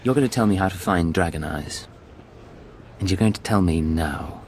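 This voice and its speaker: An actor playing a hard, terse undercover intelligence agent in a video game, cool and controlled. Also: male voice, low-pitched, lively intonation, normal speed, a little mellow, slight background noise. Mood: cool intimidation.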